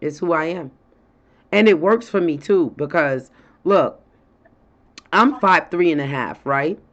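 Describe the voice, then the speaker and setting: A woman with a deep voice, co-hosting a casual talk show and telling stories with frequent laughter. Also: measured pace, fairly bright tone. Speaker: co-host. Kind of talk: casual chat. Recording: dull lo-fi sound.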